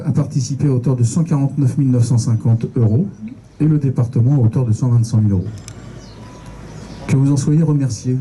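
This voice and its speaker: Very dark-voiced man giving a speech. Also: mid-range voice, a little hiss or hum, poor audio. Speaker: local official. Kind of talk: inauguration speech.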